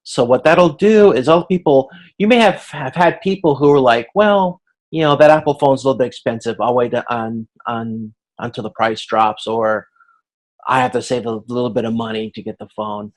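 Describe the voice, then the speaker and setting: Halting, conversational male instructor. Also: mid-range voice. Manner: halting, conversational, thinking aloud with everyday examples. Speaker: instructor leading a class video conference. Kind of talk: informal explanatory lecture.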